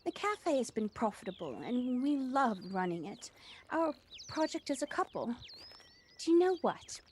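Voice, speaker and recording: A woman's voice: high voice, sing-song, normal speed, a little mellow. An actress playing a proud, devoted widowed mother who runs a cafe, speaking earnestly and reflectively in an audio play. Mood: wistful, proud. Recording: noisy home recording on personal device.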